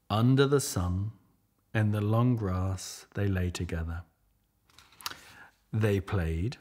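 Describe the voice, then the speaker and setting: A middle-aged man reading a picture book aloud with measured, expressive storytelling and a husky voice. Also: lowish voice. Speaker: storybook reader.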